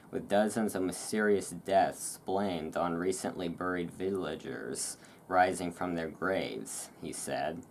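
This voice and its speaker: A host reading aloud in a relaxed ASMR style, with informal, self-deprecating asides. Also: low-pitched, slight background noise. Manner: informal, self-deprecating over hard words. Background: thunderstorm ambience.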